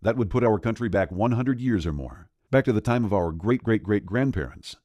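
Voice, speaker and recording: A man's voice: lowish voice, lively intonation, a little fast, a little mellow, no echo. A man narrating a scripted voice-over in a grave, dramatic, urgent manner. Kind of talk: scripted doom-laden voice-over pitch.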